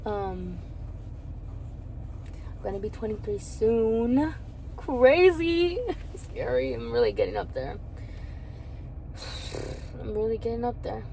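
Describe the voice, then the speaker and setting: A woman's voice: a little high, big pitch swings, takes it slowly, pauses often, mellow, a little hiss or hum, poor audio. A woman in her early twenties talking candidly about her feelings in a rambling personal vlog, laughing now and then. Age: 20s. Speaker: vlogger.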